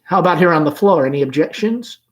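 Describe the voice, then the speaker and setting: A man acts out a confident young gentleman caller in a stage play. He is hearty and gallant, with gentle humor. The pitch sits lifted and the delivery is easy and outgoing. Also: animated, fast, close to an everyday voice. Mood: breezy, friendly. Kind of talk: play read-aloud.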